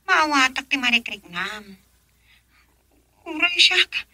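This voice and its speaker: Sharp, high-voiced young female friend. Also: animated, takes it slowly, no echo, full character voice. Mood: reproachful, concerned. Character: earnest young woman confronting her friend by phone. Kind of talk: radio drama acting.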